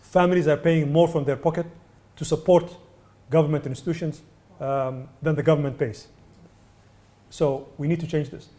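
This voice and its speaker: A man from Sudan, a UNICEF representative, answering press questions in a measured way with many hesitations. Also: a little high, steady tone, warm tone. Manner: measured, many ums and uhs, restarts. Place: indoor venue.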